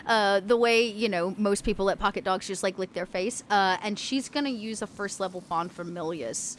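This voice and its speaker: A woman's voice: mid-range voice, moderate pace, a little hiss or hum. A woman narrating her character's actions casually as a player in a tabletop game.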